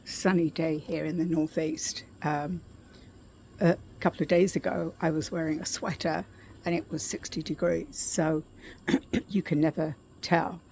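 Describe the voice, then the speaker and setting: A middle-aged woman talks softly into her phone camera, her voice scratchy and a bit gravelly, sitting slightly low. She clears her throat often and fills pauses with ums, unhurried and chatty. Faint outdoor ambience sits under her. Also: fairly even intonation. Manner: soft-spoken, frequent throat clearing and ums. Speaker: vlogger. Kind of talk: casual spoken update. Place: outdoors at her condo, quiet yard with birds.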